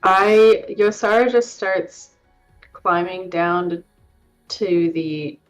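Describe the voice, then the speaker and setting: A woman's voice: on the low side, slow, faint music behind, poor audio. A woman improvising in character as a tabletop player, speaking in hesitant, broken phrases. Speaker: player in a tabletop roleplaying game.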